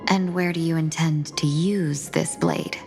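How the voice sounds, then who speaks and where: An actress playing a composed, formal young woman in a game, speaking in measured tones. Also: low voice, steady tone, creaky, music in the background. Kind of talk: game voice acting.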